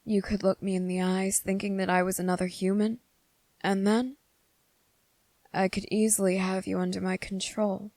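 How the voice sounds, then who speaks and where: A woman voicing a possessive, lovesick male vampire in an intimate ASMR roleplay, speaking tenderly and haltingly, with coaxing deliberation. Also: mid-range voice, level tone, darkish tone, no room sound, natural voice. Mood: devoted, quietly intense. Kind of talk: ASMR character roleplay, intimate scripted acting.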